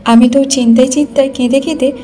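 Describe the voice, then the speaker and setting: A woman's voice: sits high, fairly even intonation, quick pace, mellow, close to an everyday voice. A woman voicing a worried, loving elder sister in a cartoon story. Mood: tender, relieved.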